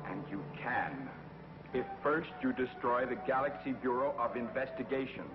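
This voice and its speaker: A man playing a scheming, flattering adviser to a villain in a 1950s TV drama. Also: medium pitch, normal speed, music in the background, close to an everyday voice. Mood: persuasive. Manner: persuasive, flattering. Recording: dull old TV sound with long reverb.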